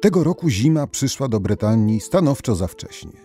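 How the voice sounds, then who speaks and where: A man's voice: mid-range voice, very animated, moderate pace, music in the background. A man narrating folk tales as a storyteller, reading from a script. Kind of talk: scripted fairy-tale narration.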